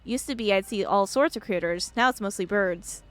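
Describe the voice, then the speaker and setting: A woman's voice: mid-range voice, moderate pace, natural voice. A woman voicing a friendly younger miner in a game, easygoing and candid. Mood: candid, puzzled.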